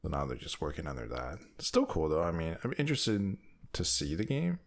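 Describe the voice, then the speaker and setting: Deep-voiced man with lively intonation. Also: fairly quick. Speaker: podcast co-host. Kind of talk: casual chat.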